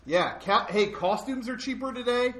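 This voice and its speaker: A man co-hosting a podcast, joking with friends, mock-stern and quick to swear. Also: very high voice, expressive, fairly bright tone. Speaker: podcast co-host. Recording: echoey room with background noise.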